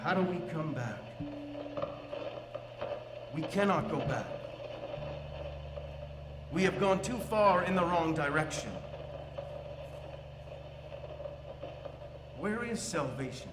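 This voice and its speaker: A man playing a high-voiced, slow, calm revolutionary orator. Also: background noise. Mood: searching. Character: calm male revolutionary speaking slowly over the radio. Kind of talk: dramatic spoken-word monologue. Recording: long hall reverb, music behind. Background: instrumental music.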